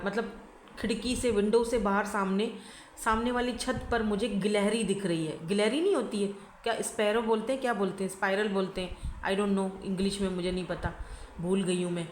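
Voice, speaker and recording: A woman giving a casual tarot reading, hoarse from a sore throat and flu, chatty and often checking in with her listener while mixing in English words. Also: medium pitch, slight background noise. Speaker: tarot reader. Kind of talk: casual pick-a-card reading to camera. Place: indoors at home by a window. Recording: echoey room.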